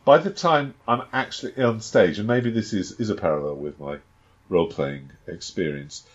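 A man with a fairly low voice chats casually as a game master, stumbling over restarts and fillers. His humour is dry and self-deprecating. The recording sounds slightly dull and lo-fi. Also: moderate pace. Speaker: game master and podcast co-host. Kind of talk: casual chat and tabletop game setup.

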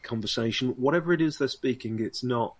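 A man's voice: medium pitch, fairly quick, slightly rough. A man, narrating as game master, hesitant and full of ums and you-knows.

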